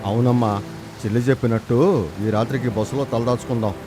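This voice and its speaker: A man playing a calm, practical village father in a moral story. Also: mid-range voice, fairly quick, over music, close to an everyday voice. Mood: agreeable. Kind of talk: moral story character dialogue.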